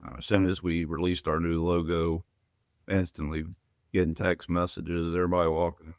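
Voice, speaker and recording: A man's voice: deep voice, level tone, normal speed, no echo, clean studio sound. A man speaking as a podcast guest and giving brief, matter-of-fact answers. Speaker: shop manager and guest. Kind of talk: casual podcast chat.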